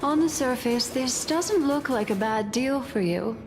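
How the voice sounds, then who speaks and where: An actress playing a composed, enigmatic woman in a video game, speaking in a measured, knowing, formal way. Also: high voice, expressive. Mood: calm, cautionary. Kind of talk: video game character dialogue. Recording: long reverb, music behind. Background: game music.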